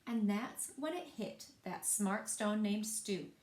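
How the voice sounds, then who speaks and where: A woman's voice: medium pitch, expressive, slow pace, darkish tone. A woman reading a children's picture book aloud as a storyteller, expressively and with asides to young listeners. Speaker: library storyteller. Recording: roomy, echoing sound.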